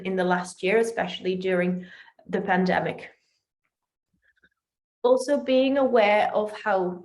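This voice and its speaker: A woman with a Dutch accent delivers a prepared online presentation. Her pitch sits in the middle range for a woman. The recording is roomy, with clear echo around her voice. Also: a little mellow. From Netherlands. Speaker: company territory manager presenting. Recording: roomy, echoing voice.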